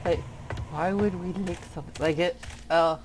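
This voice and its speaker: A man's voice: fairly high pitch, steady tone, normal speed, a little hiss or hum. A man giving casual gameplay commentary as he plays, thinking aloud in a musing way. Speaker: video game streamer.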